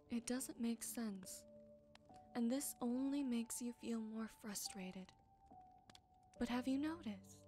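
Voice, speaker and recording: Wise forest witch played by a woman for ASMR roleplay: fairly high voice, tender, very unhurried, caring with a soft tease.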